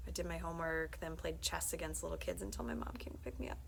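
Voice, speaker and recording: A woman reading a children's book aloud as a librarian, conversational and expressive, often dropping into hushed, confiding tones. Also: low voice, fast talker, vocal fry, slight background noise. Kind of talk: animated read-aloud of a children's book with brief asides.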